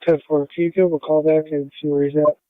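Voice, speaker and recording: A man's voice: fairly high pitch, flat delivery, fast talker, no room sound. A man making terse emergency calls over a two-way radio. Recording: tinny narrow-band scanner radio.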